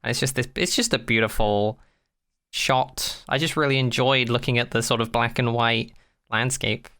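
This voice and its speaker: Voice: mid-range voice, fairly even intonation, moderate pace, bright, forceful tone. A podcast co-host chatting casually about a sci-fi show in a wry, jokey way.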